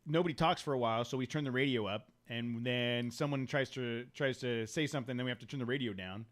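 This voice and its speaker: A man's voice: medium pitch, fast talker, a bit bright. Man hosting a casual podcast. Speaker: podcast host. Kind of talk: casual chat.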